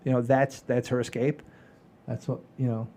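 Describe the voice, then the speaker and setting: A man hosting a comedy show in casual chat, halting and repeating phrases for emphasis. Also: medium pitch, little pitch movement, dark tone.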